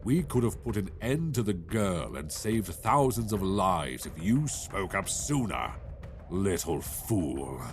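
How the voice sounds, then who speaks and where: A man's voice: deep voice, sing-song, slow, soft background music, exaggerated voice. A man playing a proud, irritable, menacing dragon in a fantasy audio drama, imperious and scornful. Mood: accusing, angry.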